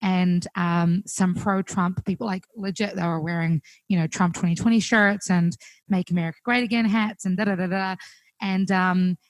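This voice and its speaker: A young woman with a New Zealand accent, chatting casually as a guest musician, full of fillers and quick laughs. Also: fairly low pitch, steady tone, no echo. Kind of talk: casual chat on a call. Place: home, recorded remotely.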